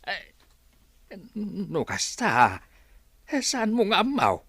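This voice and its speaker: An actor playing a hesitant, insinuating older village gossip with a Filipino Ilocano accent, in radio drama style. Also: male voice, high-pitched, huge pitch swings, slow pace, a bit bright, husky, dry recording, heavily acted.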